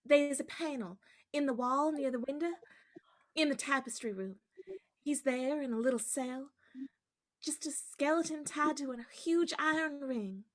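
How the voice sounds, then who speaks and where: Young girl heroine for radio drama, acted by a woman: high voice, sweet, brave, innocent. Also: a little slow. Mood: gentle, serious. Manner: earnest, innocent. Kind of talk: radio play acting.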